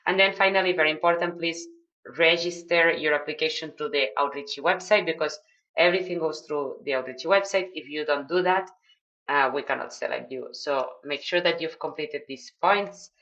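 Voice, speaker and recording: A woman's voice: deep voice, moderate pace, a bit bright, highs cut off. A woman with a Spanish accent, a non-native English speaker, mentoring newcomers on a video call and explaining steps with many fillers and restarts. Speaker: project mentor. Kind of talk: online video call briefing.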